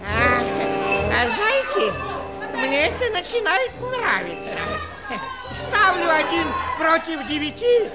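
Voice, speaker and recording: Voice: very high voice, wildly swooping pitch, slow pace, heavily acted. A performer playing a grumbling, hot-headed elderly scholar and sore loser in a children's audio play, huffy and given to chuckling. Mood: gleeful betting. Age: older. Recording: old studio play, loud music and crowd.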